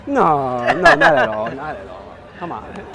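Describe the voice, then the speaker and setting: A man's voice: fairly high pitch, wildly swooping pitch, measured pace, creaky. A young man chatting casually and playfully in slang while out as a street photographer. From New York. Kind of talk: casual chat while out shooting. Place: outdoors at a busy urban beachside boardwalk. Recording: busy outdoor crowd and street noise.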